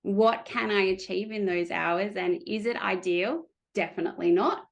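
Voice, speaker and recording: Woman, podcast host, gravelly fry, medium pitch, affirming, casual interview. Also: normal speed, lo-fi sound. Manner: affirming, lots of "yeah" and "um".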